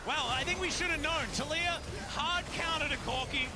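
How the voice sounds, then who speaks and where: A high-pitched man calls an esports match live. He follows the game play by play, with loud music running underneath his voice. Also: moderate pace. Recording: loud music over broadcast audio.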